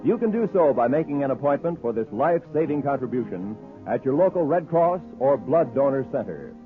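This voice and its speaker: A man's voice: mid-range voice, sing-song, faint music behind. Tinny 1950s American radio announcer, male. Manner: formal, measured. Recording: tinny husky vintage radio recording.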